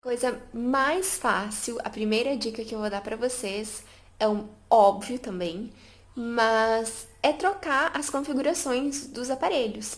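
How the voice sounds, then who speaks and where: A woman chatting casually to camera about learning languages, with many fillers and an upbeat, talkative manner. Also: on the high side. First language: Portuguese. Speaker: language vlogger.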